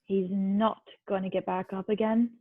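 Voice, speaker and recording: A woman's voice: medium pitch, level tone, moderate pace. A woman voicing a scheming male village leader who calls and gives orders over a walkie-talkie, read on a video call. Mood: cold. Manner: urgent calling, then cold orders. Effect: walkie-talkie radio. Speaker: table read actor. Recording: tinny phone-like line.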